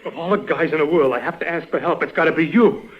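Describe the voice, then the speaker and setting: A man's voice: on the high side, fast, close to an everyday voice. An actor playing a brash, hot-headed patrolman with blunt, slangy talk in an old-time radio drama. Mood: indignant. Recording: muffled vintage radio recording.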